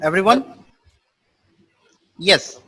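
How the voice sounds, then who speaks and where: A man's voice: high voice, lively intonation, very slow, bright, forceful tone, husky. A man teaching a live online class, explaining terms with repeated simple definitions and quizzing his students. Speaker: networking instructor.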